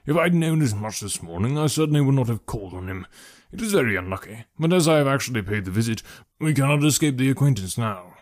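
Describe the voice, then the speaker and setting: A man's voice: mid-range voice, expressive, fast talker, lightly acted. Man as a dryly teasing middle-aged father. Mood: mock serious. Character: dry, teasing middle-aged father, calmly ironic gentleman. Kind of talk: audiobook character voice.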